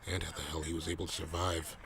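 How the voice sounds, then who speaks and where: Man acting a low, gravelly, hard-boiled detective. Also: level tone, fast, fairly bright tone. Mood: scornful. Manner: terse, swearing, brusque. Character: gruff, cynical, hard-boiled male police detective. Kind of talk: audio drama acting. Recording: noisy scene, siren ambience behind.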